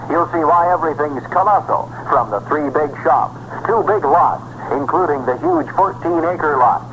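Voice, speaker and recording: An American man reading a car dealership commercial for 1960s radio with a punchy, promotional salesman delivery. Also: on the high side. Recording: noisy old 1960s radio recording.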